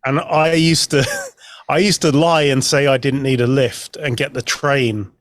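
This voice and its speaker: A man's voice: medium pitch, steady tone, a little slow. A man chatting casually and anecdotally as a podcast guest.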